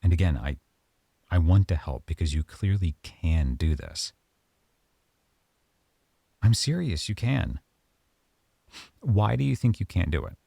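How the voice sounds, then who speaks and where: Deep, gravelly male lead for a close-mic ASMR roleplay, dry sound, calm and measured. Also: dry recording. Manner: calm, measured, softly stern. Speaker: audio roleplay performer as a professor.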